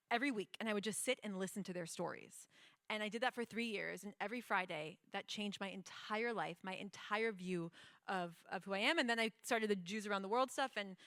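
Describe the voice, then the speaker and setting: A woman's voice: mid-range voice, fairly bright tone, dry recording, studio quality. A young woman from the United States, a guest in a conversation, telling her own story earnestly with frequent laughs and fillers. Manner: earnest, laughs often, many fillers and restarts.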